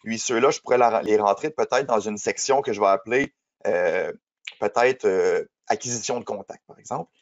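A man's voice: fairly low pitch, normal speed. Man, 30s, has a cold, patient trainer explaining in a webinar, dull lo-fi audio. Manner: explanatory, many fillers. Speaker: software trainer. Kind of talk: webinar presentation.

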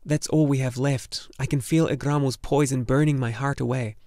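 A man's voice: mid-range voice, steady tone, normal speed, a little mellow, no room sound, clean studio sound, lightly acted. A man voicing an earnest, urgent young boy warrior.

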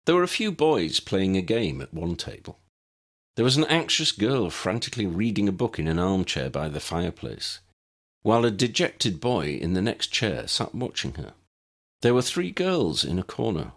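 A man narrating a scripted story in a measured, expressive storybook manner. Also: sits low, gravelly. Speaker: audiobook narrator and author.

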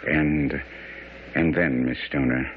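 An actor playing a shrewd, unflappable gentleman detective in a radio drama, courteous, probing and dryly cool. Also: rumbling low, fairly even intonation, slow pace, narrow, tinny audio. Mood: probing, thoughtful. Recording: thin, noisy old radio broadcast.

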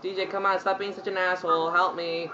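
A man trolling over game voice chat in a put-on squeaky, whiny, childish voice. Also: little pitch movement, a little fast, lo-fi sound, background noise. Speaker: gamer trolling in online voice chat. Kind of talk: prank voice chat during online shooter.

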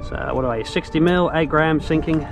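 A man in his thirties with a New Zealand accent chats loosely and keenly, full of slang, as he fishes. He is outdoors on a lake, picked up by a camera clipped to his kayak. Music plays clearly behind him. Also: on the high side, a little fast. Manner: laid-back, slangy and enthusiastic. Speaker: fishing vlogger. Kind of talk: casual outdoor vlog. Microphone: action camera mounted on the kayak.

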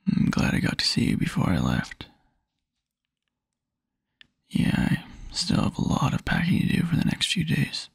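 A man playing a tender, wistful young boyfriend in an intimate ASMR roleplay, murmuring softly and haltingly in a husky near-whisper. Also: a little high, huge pitch swings, dry recording, clean studio sound, exaggerated voice. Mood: amused, sleepy. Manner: soft, halting, intimate murmur with small chuckles. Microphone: close microphone, dry.

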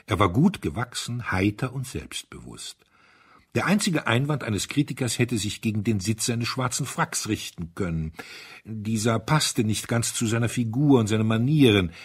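Husky, expressive male storyteller, lowish voice. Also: moderate pace. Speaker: audiobook narrator. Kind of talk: scripted narration of a mystery story.

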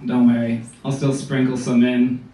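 A man's voice: mid-range voice, completely flat delivery, normal speed, noisy background. A man in his late twenties with a nasal American voice, doing a dry stand-up set in a small club. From United States. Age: 20s. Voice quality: nasal, stuffy voice. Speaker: stand-up comedian. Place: small comedy club, indoors, with audience. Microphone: handheld mic, recorded from the crowd. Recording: roomy audience phone recording from far off. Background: audience laughter.

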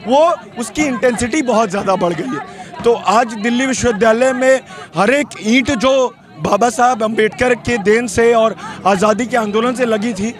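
A young male student activist giving fiery answers into a handheld mic at an outdoor street protest. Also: very high-pitched. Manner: forceful, fiery political speech. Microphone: handheld reporter mic. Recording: outdoor protest with crowd behind. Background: crowd noise.

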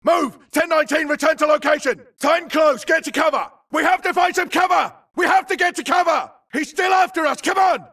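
An actor playing a frantic, aggressive grunt soldier in a shooter game, yelling clipped, urgent battlefield callouts. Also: male voice, extremely high pitch, fairly quick, hardly any pauses, distant mic, full character voice. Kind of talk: shouted combat barks for a shooter game.

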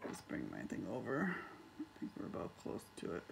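A woman's voice: very deep voice, wildly swooping pitch, brisk, creaky, heavy background noise. A woman talking casually off camera as she demonstrates an item, recorded indoors on a handheld phone.

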